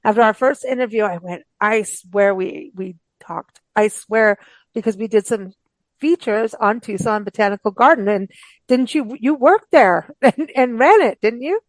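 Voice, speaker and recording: A woman's voice: on the high side, normal speed, dry recording, poor audio. A woman hosting a books show, talking in a casual, rambling, informal way as she interviews guests. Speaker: host of a books radio show.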